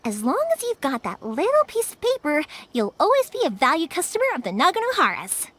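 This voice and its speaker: A woman's voice: high-pitched, brisk, exaggerated voice. A woman voicing a caring young woman who makes fireworks in a video game, chatty and reassuring. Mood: upbeat, reassuring. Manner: animated, reassuring, casually chatty. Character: cheerful, caring young woman fireworks maker, loyal to customers. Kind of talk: English video game voice acting.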